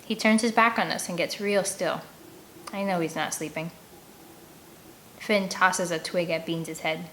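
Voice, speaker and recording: A woman's voice: fairly low pitch, steady tone, measured pace, a bit bright, slight background noise. A woman reading a first-person children's novel aloud as an audiobook, telling the story expressively. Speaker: audiobook reader.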